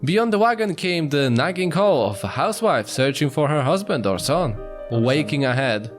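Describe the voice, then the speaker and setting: Animated man reading a story aloud. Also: a little high, moderate pace. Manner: expressive, stumbles over words. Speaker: streamer, narrator. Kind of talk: reading visual novel narration aloud. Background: music.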